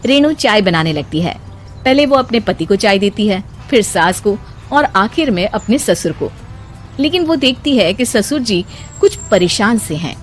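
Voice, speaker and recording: A woman reading scripted narration for an animated moral story. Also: mid-range voice, normal speed, faint music behind.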